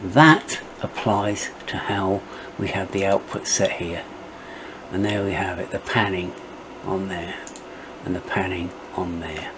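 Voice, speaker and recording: Middle aged guy doing a software walkthrough, lowish voice, bit of hiss behind him. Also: steady tone, measured pace. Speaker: tutorial presenter. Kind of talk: step-by-step software tutorial narration.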